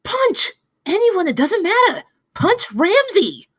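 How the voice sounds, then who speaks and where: A woman voicing a bossy magical floating head that eggs on a fight, in an animated comedy table read. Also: very high-pitched, slow, exaggerated voice. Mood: frantic goading.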